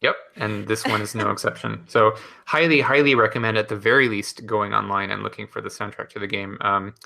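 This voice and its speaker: A man's voice: fairly low pitch, steady tone, a little fast, gravelly, pushed and bright. A man co-hosting a gaming podcast, talking casually and enthusiastically with many fillers. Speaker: podcast co-host. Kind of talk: casual chat.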